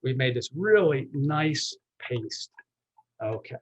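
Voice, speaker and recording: A man's voice: mid-range voice, animated, takes it slowly, background noise. A man giving a live cooking demonstration as guest chef, explaining step by step in a folksy, reassuring, conversational way.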